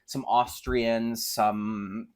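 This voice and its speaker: Very low-voiced young American woman, flippant. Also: fairly even intonation, drawn-out words, a little mellow. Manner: irreverent, slangy, flippant. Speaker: podcast co-host. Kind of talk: casual unscripted chat.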